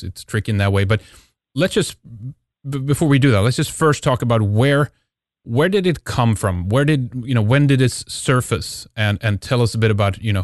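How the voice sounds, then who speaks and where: Male interview host, casual, addresses listeners directly, dry low voice. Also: darkish tone, no room sound. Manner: casual, talks to the audience directly. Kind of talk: interview questions.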